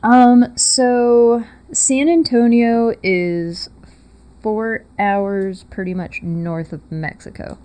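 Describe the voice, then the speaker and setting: A woman's voice: a little high, fairly even intonation, drawn-out words, warm tone, clear, resonant tone. Chatty young woman. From Texas. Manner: chatty, laughs often, loose storytelling with fillers. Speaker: guest. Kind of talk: casual interview.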